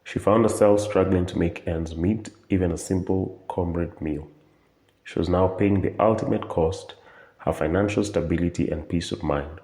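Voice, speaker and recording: A man's voice: sits low, fairly even intonation, normal speed, warm tone. A man narrating a scripted radio drama as its storyteller. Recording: echoey, roomy sound.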